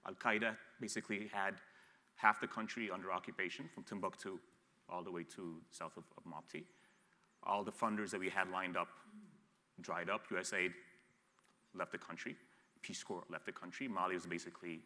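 Deep-voiced, blunt man on a panel. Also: steady tone, moderate pace, pushed and bright, big-room sound. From grew up in Ethiopia. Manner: blunt, frank, with frequent restarts and repeated words. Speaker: panelist, agribusiness entrepreneur. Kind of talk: candid panel talk. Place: studio stage set, seated in an armchair.

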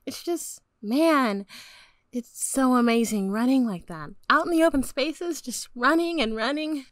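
Sweet, earnest teenage girl, very high voice, excitable, dry audiobook sound played by a woman. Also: takes it slowly, no room sound, heavily acted. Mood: sheepish, thrilled. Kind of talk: audiobook character dialogue.

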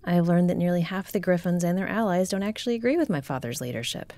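A woman's voice: fairly low pitch, quick pace, warm tone, no echo, close to an everyday voice. A woman playing a proud, guarded noblewoman commander in a fantasy audio drama, speaking formally and haughtily. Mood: grave. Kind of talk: fantasy audio roleplay acting.

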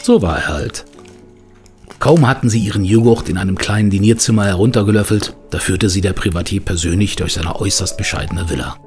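An actor as a male narrator of an adventure audio drama. His voice is deep and he tells the tale in measured, scripted storybook sentences over soft music. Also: close to an everyday voice. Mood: measured storytelling.

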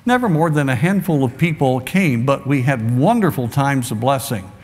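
A man's voice: medium pitch, moderate pace. Earnest man preaching in a reverberant sanctuary. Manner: earnest, exhorting, animated gestures at the pulpit. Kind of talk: sermon. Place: large church sanctuary, behind a wooden pulpit. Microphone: pulpit microphone. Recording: long hall reverb in a large sanctuary.

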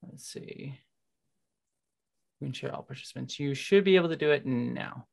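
Creaky, low-voiced woman hosting an interview. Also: normal speed, darkish tone. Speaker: host, interviewer. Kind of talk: casual interview chat. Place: indoor home studio, on a video call. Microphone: large microphone on a boom arm.

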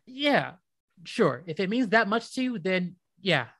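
Eager-to-please young American husband. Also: female voice, mid-range voice, very animated, a little slow, a bit bright, slightly rough, no room sound, clean studio sound, exaggerated voice. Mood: accommodating. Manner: casual, conciliatory. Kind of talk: modern radio play acting.

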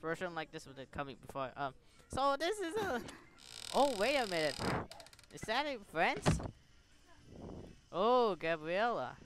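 Slow, halting man doing game commentary. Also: sits high, big pitch swings, heavy background noise. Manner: halting, stumbling reader. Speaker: gaming streamer reading along. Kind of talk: casual playthrough commentary and reading aloud.

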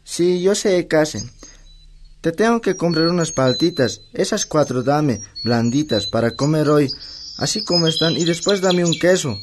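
A man's voice: fairly high pitch, lively intonation, a little slow, noisy background. An actor playing a polite, friendly young male market customer with a Bolivian accent, talking casually.

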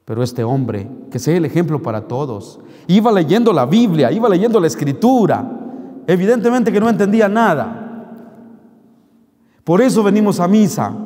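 Middle-aged Mexican priest, lively homily with teasing rhetorical questions; slightly high voice, handheld mic in a reverberant church. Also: male voice. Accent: Mexican Spanish. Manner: animated, joking, scolding with rhetorical questions. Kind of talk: homily at Mass. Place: large church, indoors. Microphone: handheld microphone.

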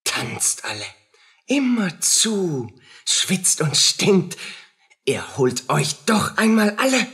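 Radio drama: feverish, haunted soldier and murderer. Very husky, very slow, pitch all over the place. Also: male voice, sing-song, fairly bright tone, heavily acted. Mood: bitter, wild. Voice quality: husky, rough. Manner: agitated, fragmented, muttering and crying out. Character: tormented, feverish soldier, a murderer unraveling in guilt. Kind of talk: radio play acting.

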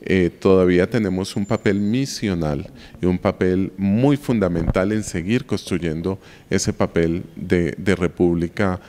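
A man's voice: sits low, a little slow, warm tone, long reverb tail, a little hiss or hum. A man in his forties, a university lecturer, giving reflective interview answers and checking in with the listener as he explains. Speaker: university lecturer and museum guide. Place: indoor room of a colonial house museum. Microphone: handheld mic held by interviewer.

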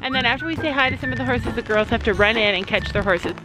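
Cheery Canadian mom vlogger, high voice, talking to the camera at arm's length. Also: female voice, quick pace, rough recording. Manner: chatty, upbeat, talking straight to camera. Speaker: family vlogger. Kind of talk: casual vlog narration. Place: home and outdoor horse barn. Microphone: handheld camera at arm's length. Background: background music.

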